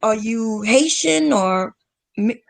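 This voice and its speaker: A chatty woman who reacts quickly and laughs often. Her voice sits fairly high and moves widely in pitch. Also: expressive, vocal fry. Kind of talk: casual livestream chat.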